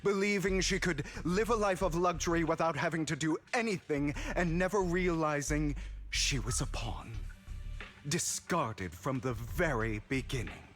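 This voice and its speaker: A man voicing a proud, composed young man in a dramatic video game, with measured, theatrical delivery. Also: on the high side, fairly bright tone, close to an everyday voice. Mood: bitter. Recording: music behind the voice.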